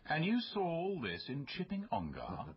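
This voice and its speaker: Radio play doctor, male: reserved, clipped, hesitant, hugely varied pitch, dull lo-fi recording. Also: wildly swooping pitch, moderate pace, vocal fry, exaggerated voice. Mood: reserved, wary. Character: guarded, terse, doubting male doctor colleague. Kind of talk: naturalistic radio drama acting.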